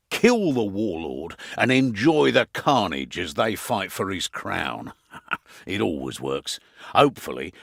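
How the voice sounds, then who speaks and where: An actor playing a gruff, wry middle-aged soldier spymaster with a British accent and gravelly voice, briefing troops in a fantasy video game. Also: male voice, on the low side, fairly bright tone, dry recording, clean studio sound, exaggerated voice. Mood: wry, teasing. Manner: sardonic, colloquial, theatrical.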